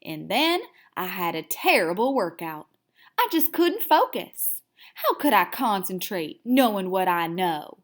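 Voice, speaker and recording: A woman voicing a cocky, excitable male pet hamster telling his diary in a children's storybook, with emphatic, theatrical delivery. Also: mid-range voice, a little slow, voice pushed down. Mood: restless, longing. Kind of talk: first-person children's storybook read-aloud.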